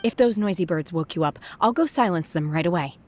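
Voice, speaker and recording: A woman voicing a reserved, earnest, socially awkward young female disciple in a video game, speaking softly in measured, formal, sincere phrases. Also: fairly low pitch, lively intonation, slight background noise, close to an everyday voice. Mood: calm, caring. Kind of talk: video game character dialogue. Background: background music.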